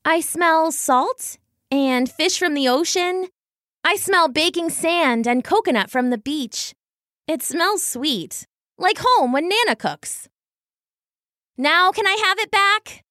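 A woman's voice: very high voice, animated, takes it slowly, fairly bright tone, no room sound, clean studio sound, pitched above the performer's own voice, exaggerated voice. A woman voicing an anxious, stubborn young female weasel in a children's story, dramatic and protesting. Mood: grudging.

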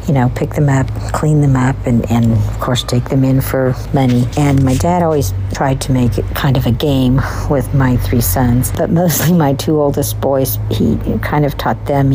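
Very deep-voiced woman paying tribute. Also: slight background noise, low-quality recording. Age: middle-aged. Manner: earnest, steady and affectionate. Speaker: guest's daughter. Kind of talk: prepared spoken tribute.

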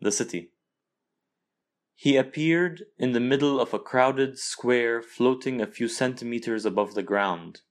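A man's voice: medium pitch, unhurried. A man from Lebanon, an author, narrating his own literary short story from a script.

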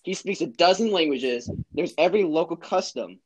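A man's voice: on the high side, expressive, normal speed, slightly rough, pitched above the performer's own voice, rough recording. A man playing a boastful, confident adventurer, speaking emphatically in an amateur film script reading. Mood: confident boasting. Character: boastful male adventurer confidently bluffing about his friend.

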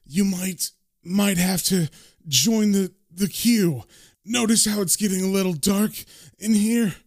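Defiant British trickster, done by a male storyteller, higher-pitched, sardonic, slangy and taunting. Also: takes it slowly, a little mellow, no room sound, studio quality. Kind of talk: dramatic comic-book storytelling character voice.

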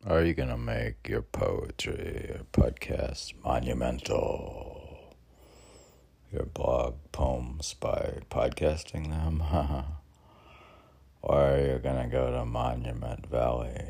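A man with a very low, gravelly voice gives an informal poetry reading. He speaks slowly, musing aloud and questioning himself between lines. There is some noise behind him. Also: dark tone. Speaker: poet reading and commenting on own poems.

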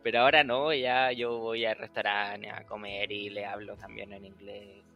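A man's voice: fairly low pitch, flat delivery, normal speed, muffled recording, faint music behind. A young man from Chile chatting casually as a podcast host, acting out old conversations and laughing often. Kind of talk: casual chat.

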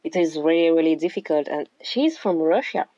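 A woman from Cameroon speaks English with a French accent, shy and hesitant. Her voice is a little low and mellow. The remote recording sounds dull and lo-fi. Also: expressive, normal speed, no room sound. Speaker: podcast guest. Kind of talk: casual interview.

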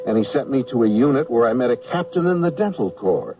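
An actor playing a wry, polite army draftee in a radio comedy, speaking in a dry, understated, deferential way. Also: male voice, medium pitch, sing-song, fast talker. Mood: wry narration. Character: wry, polite male army draftee narrating his misadventures. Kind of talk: radio comedy acting and scripted narration. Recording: muffled, noisy, distant 1970s radio recording.

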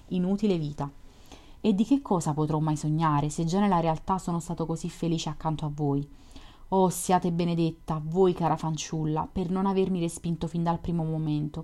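A woman's voice: fairly low pitch, mellow, close to an everyday voice. A woman voicing a lonely, melancholy young male dreamer who pleads and confesses in fervent outpourings, read from a storybook.

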